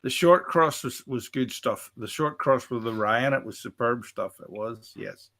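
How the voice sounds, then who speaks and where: A man's voice: medium pitch, moderate pace, sharp tone. A man with a Northern Irish accent co-hosting a casual chat, rambling, repeating himself and joking as he reads out viewer comments. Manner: rambling, repeats words, jokey. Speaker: co-host.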